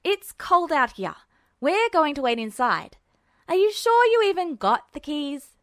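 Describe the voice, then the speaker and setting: A woman's voice: sits high, very animated, unhurried, dry recording, clean studio sound. A woman voicing an irritable, commanding teenage boy in a story reading. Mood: annoyed, impatient. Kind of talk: character dialogue in fan fiction reading.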